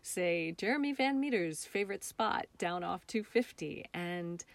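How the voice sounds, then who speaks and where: An American woman speaking as a guest artist in a reflective interview, thoughtful and halting as she searches for words. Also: fairly low pitch, expressive, no room sound. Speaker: guest, local artist and theatre maker.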